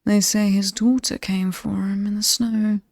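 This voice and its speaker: Woman as mysterious British demon hostess for horror ASMR: mellow, very close and dry, breathy whispers, playful menace. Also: medium pitch, level tone, dry recording. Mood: ominous storytelling. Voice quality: breathy, often dropping to a whisper. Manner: slow, teasing, coolly amused and aristocratic. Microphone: close, dry microphone.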